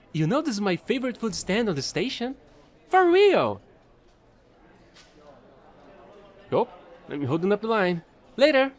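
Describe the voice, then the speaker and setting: A man playing a chatty, excitable fallen alien angel who trades in space, gushing and rambling in an audio roleplay. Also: high voice. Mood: flustered, apologetic. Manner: bubbly, gushing, rambling, apologetic.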